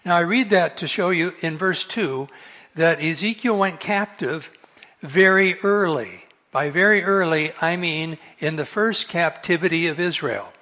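Soft-spoken guy, bit high voice, laid-back bible study talk, laughs at his own slips. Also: measured pace. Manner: relaxed, self-correcting, chuckles often. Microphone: amplified microphone.

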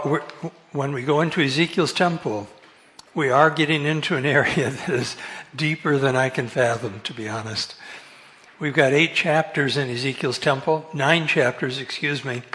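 Soft-spoken man giving a Bible talk. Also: on the high side, breathy edge. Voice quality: soft-voiced. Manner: relaxed, self-correcting, chuckles often. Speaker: Bible study speaker. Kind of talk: Bible study lecture. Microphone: amplified microphone.

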